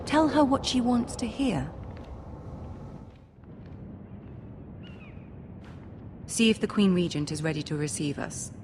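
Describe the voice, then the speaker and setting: An actress playing a poised young noble lady in a game drama, gentle and persuasive, with a measured, diplomatic manner. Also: medium pitch, sing-song, background noise, lightly acted. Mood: reassuring.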